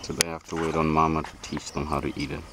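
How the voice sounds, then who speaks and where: A man's voice: extremely low pitch, monotone, fast talker. A man musing casually off the cuff as he films in a farmyard, often asking himself questions. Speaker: amateur vlogger filming a farm. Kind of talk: casual off-the-cuff narration of animals. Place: outdoors in a farmyard. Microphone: handheld camera, behind the lens. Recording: noisy outdoor camera audio, birds and insects.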